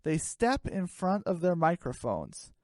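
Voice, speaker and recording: A woman's voice: lowish voice, very animated, unhurried, a little mellow, no echo, clean studio sound. A woman narrating a scripted comic radio play in a storytelling style.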